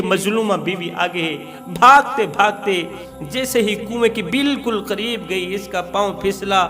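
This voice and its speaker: A male preacher with a notably high-pitched voice tells a story as an impassioned sermon. His delivery is dramatic and pleading, hammering phrases through repetition. Long reverb surrounds the voice, with music underneath. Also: bright, forceful tone.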